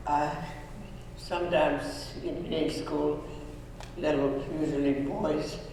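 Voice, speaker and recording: A woman speaks slowly and earnestly, pausing and restarting her phrases. She is heard from a distance across a big, echoing school hall. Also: low voice, darkish tone, slight background noise. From Hungary. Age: 90s. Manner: earnest, halting, with frequent restarts. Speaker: Holocaust survivor speaking to students. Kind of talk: personal testimony talk. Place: school room or auditorium. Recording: echoey hall, voice from far off.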